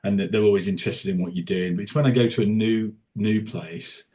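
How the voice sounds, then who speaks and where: A man with a lower speaking voice talks loosely and at length as a guest on a chat podcast. He keeps stopping and restarting his sentences and leans on fillers, but he always gets to his point in an easygoing way. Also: steady tone, warm tone. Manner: rambling, halting, many restarts and fillers. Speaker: tribute singer and podcast guest. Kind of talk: casual interview chat.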